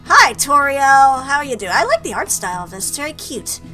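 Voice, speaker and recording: A woman's voice: high voice, very animated, brisk, sharp tone, faint music behind, poor audio. A woman hosting a let's play, chatting playfully and reacting aloud as she reads through a game. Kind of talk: gaming commentary with read-aloud game text.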